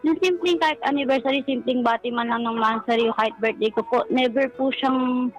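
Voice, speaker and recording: A woman's voice: high-pitched, fairly even intonation, a little fast, lo-fi sound. A woman with a Filipino accent calling in to a talk show, recounting personal troubles in a polite, deferential way. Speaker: caller. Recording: background music under the voice. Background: music.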